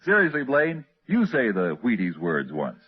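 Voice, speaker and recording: A man's voice: mid-range voice, huge pitch swings, moderate pace, husky. A man announcing in the polished patter of a 1950s radio commercial. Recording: muffled old radio recording.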